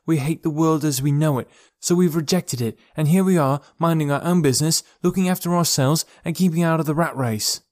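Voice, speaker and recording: A man's voice: a little high, fairly quick, hardly any pauses, a little mellow, no room sound, studio quality, pitched above the performer's own voice, close to an everyday voice. A man voicing an earnest, urgent young woman who leads a forest community. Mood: impassioned. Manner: raised, earnest and pleading delivery.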